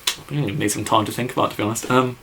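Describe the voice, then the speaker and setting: Young English man from the Midlands, lowish voice, rambly casual vlogger full of ums and likes. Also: steady tone, very fast, noisy background. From England, near Birmingham. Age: young adult. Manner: rambling, lots of fillers and "like", says so himself. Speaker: YouTube musician answering viewer questions. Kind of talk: casual vlog Q&A.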